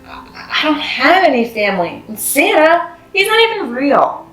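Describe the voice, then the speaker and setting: A woman's voice: sits high, very animated, normal speed, a bit bright, distant mic, pitched above the performer's own voice. A woman puts on a young storybook schoolboy, lonely and full of doubt. The delivery is hesitant and downcast, in a roomy space with music behind. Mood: glum, doubtful. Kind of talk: children's storybook read-aloud.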